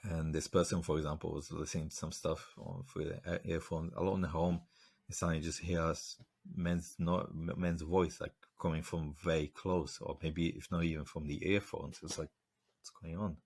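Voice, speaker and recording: A man with a very deep, low voice. He hosts a podcast interview and tells stories in a relaxed, rambling way, full of fillers and restarts. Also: moderate pace, breathy edge. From Slovakia. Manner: rambling, storytelling with many fillers. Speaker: podcast host. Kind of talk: casual interview chat.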